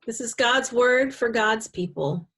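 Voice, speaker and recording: A woman with a somewhat high voice reads scripture aloud. Her delivery is that of a read text. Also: unhurried, fairly bright tone.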